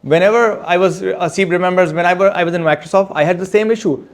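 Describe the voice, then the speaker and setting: A man speaking as an author at a book launch, answering audience questions in a halting, step-by-step way with frequent restarts. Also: high voice. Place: event room with audience.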